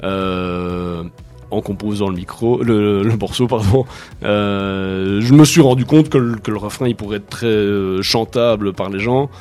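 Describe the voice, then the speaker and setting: Male rock musician, interview chat, hesitant and laughing easily, slightly low voice. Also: measured pace, darkish tone, soft background music. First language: French. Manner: hesitant, many fillers and restarts, laughs easily. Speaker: musician, band songwriter. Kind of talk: casual interview.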